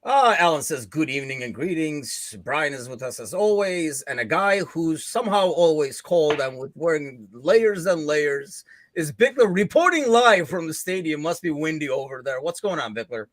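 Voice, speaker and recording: A man's voice: a little high, animated, normal speed, no room sound. A man from Turkey hosting a live football podcast, talking loosely with lots of fillers. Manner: loose and chatty, many fillers. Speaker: podcast host. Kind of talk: casual podcast chat. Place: Chicago.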